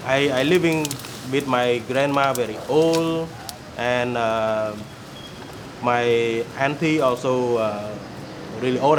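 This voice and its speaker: A man in his thirties with a Khmer accent, speaking haltingly in a documentary interview and searching for words. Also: mid-range voice, noisy background. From Cambodia. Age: 30s. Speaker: former student interviewee.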